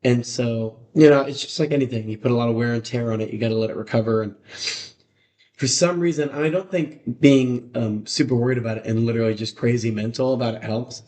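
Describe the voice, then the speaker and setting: A man with a raspy voice, a musician guest chatting casually on a podcast, rambling with lots of fillers. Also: medium pitch, fast talker, darkish tone. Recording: roomy echo.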